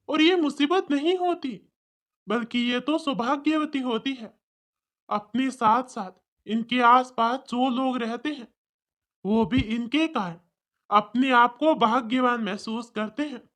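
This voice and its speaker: Woman voicing an earnest, kind cartoon man, lowered voice. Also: a little slow, fairly bright tone. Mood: reproachful, earnest. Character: kind, gentle man longing to adopt a baby daughter. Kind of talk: cartoon story dialogue.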